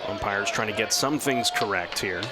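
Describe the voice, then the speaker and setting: A man doing live radio baseball play-by-play in measured, descriptive, clipped phrases. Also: lowish voice. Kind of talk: live radio sports commentary. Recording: clear steady outdoor ballpark noise.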